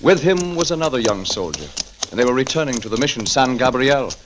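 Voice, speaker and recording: A man's voice: medium pitch, animated, a little fast. A man narrating a historical tale in a stately, scripted 1940s radio storytelling style. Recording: vintage 1940s radio broadcast recording. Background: music.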